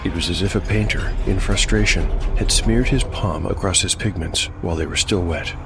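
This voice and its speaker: Deep-voiced man narrating dark fantasy. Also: vocal fry. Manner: measured, dramatic, literary. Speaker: narrator and host. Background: music.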